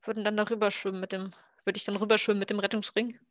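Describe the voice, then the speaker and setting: A woman's voice: medium pitch, little pitch movement, rapid-fire, no echo, clean studio sound. A woman talking casually and improvising as a player in an online tabletop roleplaying game. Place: indoor room at home, video call.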